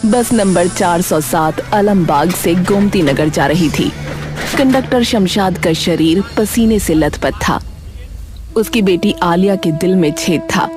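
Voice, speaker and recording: Low-pitched woman narrating a dramatic story. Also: slow pace. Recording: music behind the voice.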